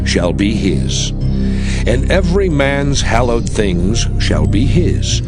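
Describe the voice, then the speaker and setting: A man with a medium-pitched voice reads scripture in a formal, deliberate style. His delivery rises and falls expressively. Music is clearly heard underneath. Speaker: scripture reader. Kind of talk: dramatized scripture reading.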